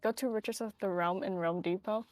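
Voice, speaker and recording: A woman chatting casually about a game, halting and repeating words as she explains. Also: medium pitch, fairly even intonation. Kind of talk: casual gaming chat.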